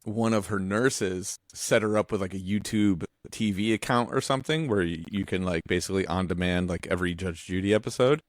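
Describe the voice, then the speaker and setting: A man's voice: low voice, a little fast, hardly any pauses, no room sound, studio quality. A man in his forties chatting casually as a podcast co-host, rambling and restarting his sentences as he tells stories, with some casual swearing. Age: 40s. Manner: rambling, self-interrupting, animated storytelling; casually profane. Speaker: visual artist.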